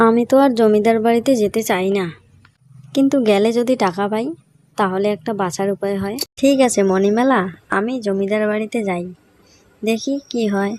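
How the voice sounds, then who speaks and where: A woman's voice: mid-range voice, normal speed, a little mellow, close to an everyday voice. A woman voicing a poor, loving village mother in a cartoon story, humble and plaintive in manner. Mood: worried. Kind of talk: Bengali cartoon story voice acting.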